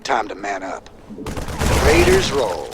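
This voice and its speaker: An actor playing a gruff, defiant rebel commander in a video game, with a laconic American Southern drawl. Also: male voice, lowish voice, huge pitch swings, slow pace, heavy background noise, full character voice. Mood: commanding. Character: gruff rebel commander, drawling space-western man, weary but defiant. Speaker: rebel military leader. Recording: loud music behind the voice. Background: loud game music, gunfire, vehicles.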